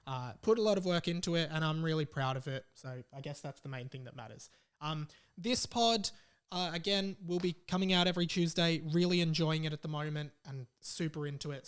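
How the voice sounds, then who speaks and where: A young adult man with an Australian accent chats casually and informally as he hosts his solo podcast. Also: on the high side. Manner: informal and chatty, reads out listener messages. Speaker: podcast host.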